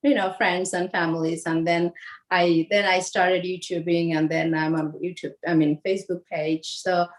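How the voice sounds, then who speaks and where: A woman in her forties from Bangladesh, explaining home recipes in a casual, halting way with lots of fillers and restarts. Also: on the low side, level tone. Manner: hesitant, many fillers and restarts. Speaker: home cook guest. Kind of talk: casual cooking demonstration.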